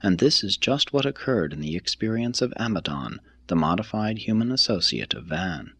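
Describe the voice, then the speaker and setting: A man reading a religious text aloud as scripted narration, in a solemn, measured, formal manner. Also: low voice, sing-song, fairly bright tone, slightly rough, no echo. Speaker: audiobook reader.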